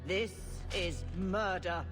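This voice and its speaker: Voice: mid-range voice, takes it slowly, long reverb tail, music in the background, lightly acted. A performer voicing a stern, pleading cleric in a video game, grave and declamatory. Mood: grave, urgent. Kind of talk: video game character dialogue.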